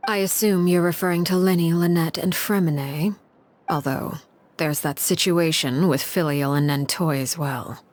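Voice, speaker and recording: A woman's voice: deep voice, moderate pace, darkish tone, natural voice. A woman playing a cold, menacing leader in a video game, speaking in a composed, formal way with veiled threats. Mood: stern, cold.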